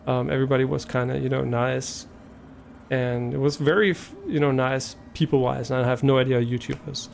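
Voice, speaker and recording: A young man from Germany chats to his stream in English with a clear German accent, his voice gravelly and sitting at an ordinary pitch. He talks loosely, hesitating, trailing off and restarting his sentences. A faint hiss of noise sits under the recording. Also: fairly even intonation, fairly quick. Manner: rambling, hesitant, many fillers and restarts. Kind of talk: casual live stream chat.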